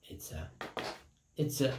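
A man in his thirties, not a native English speaker, casually reviewing a laptop in plain, halting English and apologizing for his language. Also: warm tone, roomy sound. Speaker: tech reviewer. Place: hot room at home with a construction site nearby. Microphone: laptop built-in mic and camera. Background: buzzing construction noise.

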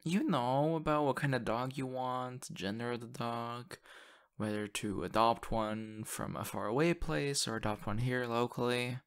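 A man playing an excitable, affectionate young boyfriend in an intimate ASMR audio roleplay, talking haltingly and teasing playfully. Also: medium pitch, close to an everyday voice. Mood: fond, curious. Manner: halting, repeats words, breathy laughs and sighs.

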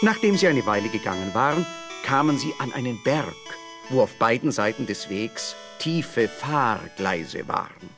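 A man's voice: medium pitch, sing-song, unhurried, music in the background. A man narrating a scripted fairy tale as a storyteller.

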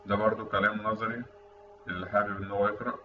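A man's voice: on the low side, flat delivery, fast talker. A man with an Egyptian Arabic accent explains technical material in an informal, conversational tutorial voice-over. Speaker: instructor. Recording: roomy, distant mic with faint noise, dull sound.

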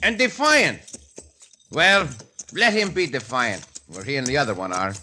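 An actor playing a dashing, good-humored Mexican caballero hero with a put-on Mexican Spanish accent, in the acting style of 1950s radio drama. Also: male voice, mid-range voice, expressive, moderate pace, pushed and bright, a little hiss or hum. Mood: amused. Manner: smooth and amused, lightly teasing.

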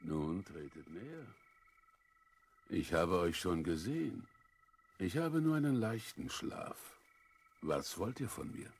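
Old greek philosopher for a kids audio play, very raspy, swoops up and down, calm and wise. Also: male voice, low voice, exaggerated voice. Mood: calm, curious. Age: older. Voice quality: husky, creaky. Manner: measured, deliberate, self-assured. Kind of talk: children's audio drama acting.

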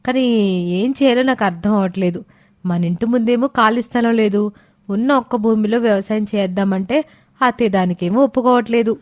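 A woman's voice: fairly high pitch, brisk, darkish tone, lightly acted. Cartoon daughter-in-law: young, caring, determined, voiced by a woman. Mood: earnest. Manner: earnest, respectful. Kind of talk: animated storybook voice acting.

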